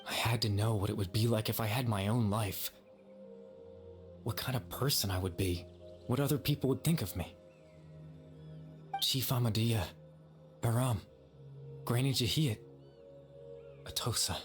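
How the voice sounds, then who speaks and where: An actor playing the gentle, regretful lingering consciousness of a dead young man in a video game, soft-spoken and measured. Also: on the low side, soft background music, lightly acted. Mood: wistful, regretful.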